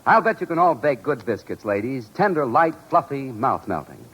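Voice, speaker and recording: A male announcer delivering a 1940s radio commercial. His medium-pitched voice is slightly husky and swings expressively as he sells in a polished, persuasive way. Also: big pitch swings, moderate pace, fairly bright tone, highs cut off. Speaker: radio announcer reading a sponsor spot.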